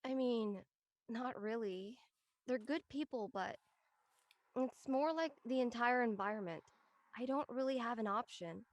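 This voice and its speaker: Woman playing earnest young college friend in an online drama; slightly high, conversational voice, close and dry. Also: a little slow, dry recording. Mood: hurt, earnest.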